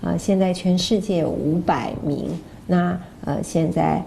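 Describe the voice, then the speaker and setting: Woman, mellow and slightly gravelly, informal course presenter with hesitant delivery, some background noise. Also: lowish voice, steady tone, a little slow. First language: Mandarin Chinese. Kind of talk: informal explanation to camera.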